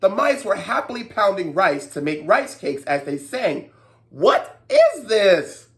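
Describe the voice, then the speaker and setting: A woman telling a story to young children, expressive, with playful asides and exclamations. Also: mid-range voice, normal speed.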